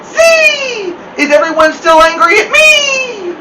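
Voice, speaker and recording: A tiny female storybook mosquito, acted by a man, whines anxiously in an extremely high, tearful squeak. She sounds guilty and pleading. The recording is distant and noisy. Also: big pitch swings, slow, full character voice. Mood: tearful whining. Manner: whining, tearful.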